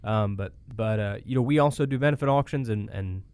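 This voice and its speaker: A man co-hosting a radio talk show about auctions, relaxed and easygoing with many fillers. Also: fairly low pitch, brisk, clear, resonant tone, dry recording. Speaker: auctioneer co-host. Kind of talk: casual radio chat.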